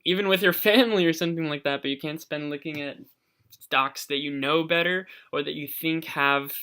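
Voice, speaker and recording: Bright clear-voiced investing channel host. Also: mid-range voice, steady tone, a little fast. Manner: casual, thinking aloud with frequent ums; advisory, coaching viewers directly. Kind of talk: screen-share stock research walkthrough.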